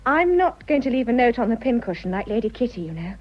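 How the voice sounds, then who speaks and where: An actress playing an earnest, resolute, tender young wife with an English accent, speaking candidly in a radio drama. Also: female voice, a little high, very animated, quick pace. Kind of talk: romantic radio drama acting. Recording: muffled, noisy radio drama.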